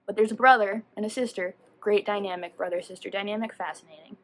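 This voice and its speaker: A woman giving a casual, chatty book review as a vlogger, animated and slangy, often rambling and interrupting herself. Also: medium pitch, fast talker, slight background noise.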